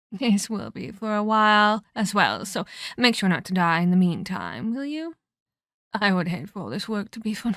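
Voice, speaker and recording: A woman voicing an ancient female vampire in an ASMR roleplay, a measured, patronizing mentor who scolds and teases with veiled menace. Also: mid-range voice, fairly bright tone, dry recording, studio quality. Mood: brisk, amused. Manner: condescending, teasing, measured, addresses listener as child.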